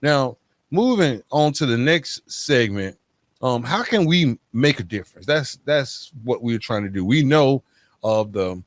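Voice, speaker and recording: A man's voice: medium pitch, lively intonation, a little slow, dry recording. A middle-aged man hosting a podcast, talking conversationally and emphatically, often checking in with tag questions. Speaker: podcast host.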